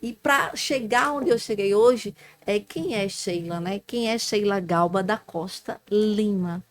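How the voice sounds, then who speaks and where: A woman's voice: medium pitch, measured pace. A woman in her forties from Alagoas with a Northeastern Brazilian accent, earnestly telling her life story as a podcast guest. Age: 40s. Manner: earnest, devout, with small restarts. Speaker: guest, former councilwoman and activist.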